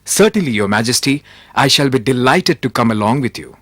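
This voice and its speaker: Courteous, shrewd cartoon royal advisor. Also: male voice, medium pitch, lively intonation, fairly bright tone, no room sound, clean studio sound. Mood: polite. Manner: deferential, measured, polite. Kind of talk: animated moral story dialogue.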